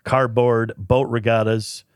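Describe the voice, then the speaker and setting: A man's voice: mid-range voice, little pitch movement, slow, clear, resonant tone, dry recording, clean studio sound. A man chatting on a talk show, recalling past events with hesitant fillers. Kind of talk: casual chat.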